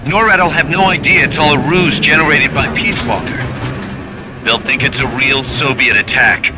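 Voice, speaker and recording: A man's voice: mid-range voice, normal speed, noisy background, lightly acted. A man as a blunt, urgent military officer. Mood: urgent briefing. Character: alarmed, blunt male military second-in-command. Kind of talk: dramatic video game voice acting.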